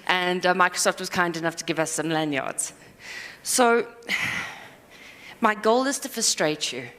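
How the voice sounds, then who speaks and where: A woman's voice: on the low side, fairly bright tone. Rambling self-deprecating woman in a hall. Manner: self-deprecating, rambling, quick asides and apologies. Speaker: conference speaker. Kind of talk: live conference talk. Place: conference hall. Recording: hall reverb.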